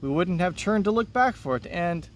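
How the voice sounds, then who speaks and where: A man's voice: high voice, lively intonation, normal speed, far from the mic, voice pushed up. A man reading the part of a curious, reserved boy in a children's play, in an earnest, simple way. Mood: wondering. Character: Marco, curious, reserved boy new in town. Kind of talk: read-aloud of a children's play. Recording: noticeable background noise.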